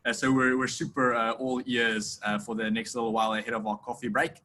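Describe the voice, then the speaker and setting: A man's voice: medium pitch, flat delivery, fairly quick, bright, forceful tone. A man moderating an online conference panel, upbeat and full of restarts as he speaks, on a video call.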